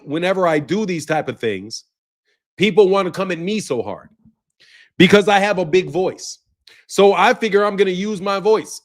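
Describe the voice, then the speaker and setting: A man ranting about boxing, forceful and full of rhetorical questions. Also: high voice. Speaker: boxing commentator. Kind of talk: animated rant.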